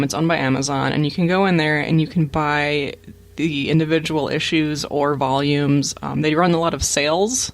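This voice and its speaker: A man's voice: on the high side, creaky, no room sound. A man co-hosting a podcast, chatting casually and explaining things to listeners. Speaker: podcast co-host.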